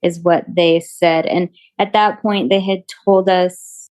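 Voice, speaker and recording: A middle-aged woman, a mother and interview guest, telling events in a steady, casual way and filling in details. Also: lowish voice, slow. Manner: steady, fills in details gently. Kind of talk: casual storytelling.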